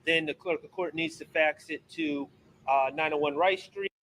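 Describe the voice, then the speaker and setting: A man giving an earnest statement in a measured, hesitant way. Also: fairly high pitch, fairly even intonation, a bit bright, low-quality recording. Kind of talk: statement in a played clip.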